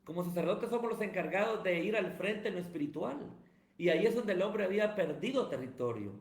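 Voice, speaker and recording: Male pastor, high voice, preachy emphatic delivery, roomy echoey sound. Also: expressive, fairly quick. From Guatemala. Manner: emphatic, sermon-like cadence. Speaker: pastor and evangelist, guest. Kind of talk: preaching-style interview answers. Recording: roomy echo.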